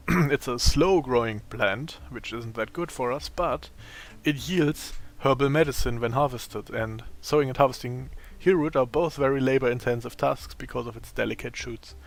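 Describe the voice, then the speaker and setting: A man with a raspy, tired voice giving casual gameplay commentary, thinking aloud as he plays. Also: mid-range voice. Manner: slow, thinking aloud while playing. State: voice strained from a long day of talking.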